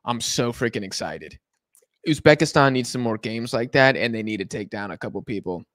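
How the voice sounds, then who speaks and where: A man's voice: mid-range voice, animated, brisk, a bit bright, no echo, studio quality. An American man casually hosting a live football watch-along from his couch at home, rambling and answering chat comments as they come in. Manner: laid-back, rambling, answers chat on the fly.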